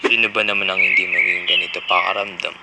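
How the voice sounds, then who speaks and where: A man playing deep-voiced steadfast college friend. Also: little pitch movement, fast. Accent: Filipino. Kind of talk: amateur motion comic drama. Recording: very noisy amateur recording with clicks.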